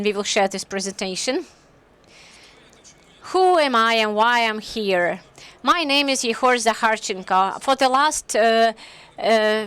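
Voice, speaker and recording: Clear-voiced woman interpreter. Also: on the high side, a bit bright. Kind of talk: simultaneous interpretation.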